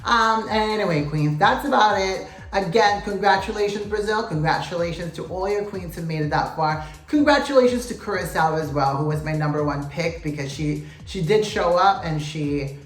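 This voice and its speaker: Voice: medium pitch, moderate pace, few pauses. A pageant vlogger giving a casual recap to camera, sassy and gossipy, often calling out to viewers as "you guys" and "queens". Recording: roomy echo, faint music.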